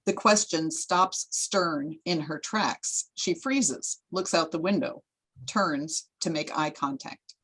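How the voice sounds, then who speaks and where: A woman's voice: low voice, takes it slowly, fairly bright tone, husky, poor audio. A woman reading stage directions as the narrator of a screenplay.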